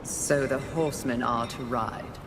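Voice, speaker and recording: A woman's voice: rumbling low, steady tone, a little slow, very noisy. An actress playing an arrogant, impatient and battle-hungry horsewoman of the apocalypse in a video game, with sardonic delivery. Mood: cocky, demanding. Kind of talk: video game cutscene acting.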